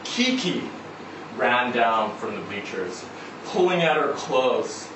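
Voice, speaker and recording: A man giving a dramatic live reading of a short story, with measured, deliberate phrasing and pauses. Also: mid-range voice, animated. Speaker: story reader at a live literary event. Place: indoor event venue before a live audience. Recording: echoey room, distant mic, noisy background.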